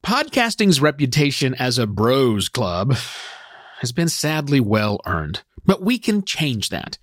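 Podcast host: man, middle-aged, American accent, average pitch, emphatic delivery, very dry sound. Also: very animated, slow pace, fairly bright tone, dry recording. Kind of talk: podcast talk to listeners.

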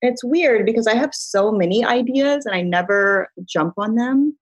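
Woman, middle-aged, from the Midwest, husky, breathy tone, chatty storyteller, dry close sound. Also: fairly high pitch, normal speed, dry recording. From Chicago, US Midwest. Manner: chatty storyteller, laughing often, filler-heavy. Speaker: beauty brand founder, guest. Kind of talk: casual interview chat.

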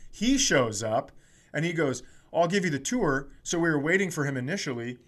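A man's voice: on the high side, animated, normal speed, fairly bright tone. A middle-aged American man co-hosting a comedy podcast, telling casual comic stories and acting out what people said.

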